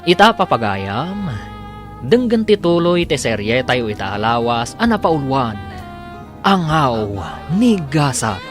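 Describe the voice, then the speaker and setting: A man's voice: medium pitch, sing-song, takes it slowly, over music. A man with an Ilocano Filipino accent reading scripted narration as the announcer of a radio drama.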